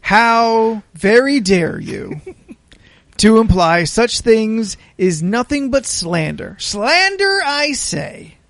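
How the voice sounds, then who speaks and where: A man reading a letter aloud as an indignant, pompous gentleman, theatrical and mock-offended. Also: sits high, very animated, slow pace, creaky, dry recording, pitched above the performer's own voice, heavily acted. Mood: mock outrage.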